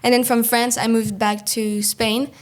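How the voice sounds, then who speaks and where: A woman hosting a podcast interview casually, encouraging her guests with soft listening sounds. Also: on the high side, level tone, unhurried. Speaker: podcast host.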